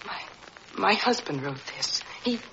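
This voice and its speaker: A distressed young wife who doubts her own mind in classic radio drama style. She speaks in a bright, tense voice with halting, pleading delivery. The recording is dull and faintly hissy. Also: medium pitch, expressive. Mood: bewildered, hurt. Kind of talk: old-time radio drama acting.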